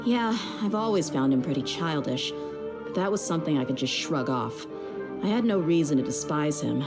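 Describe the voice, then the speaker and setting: A woman's voice: deep voice, animated, normal speed. A woman playing a tough, easygoing young mercenary in a video game, frank and self-assured. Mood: wry, frank. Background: background music.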